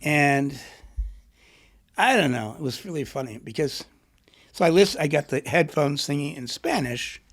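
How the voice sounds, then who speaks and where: Reflective middle-aged man, fairly high voice. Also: lively intonation, a little slow, long pauses, a bit bright. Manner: reflective, thinks aloud with restarts and laughs. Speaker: author and poet, guest. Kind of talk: conversational interview.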